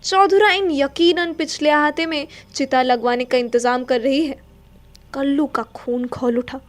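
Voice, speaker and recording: High-voiced woman narrating a story. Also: steady tone, a little slow. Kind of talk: dramatic literary story narration. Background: faint music.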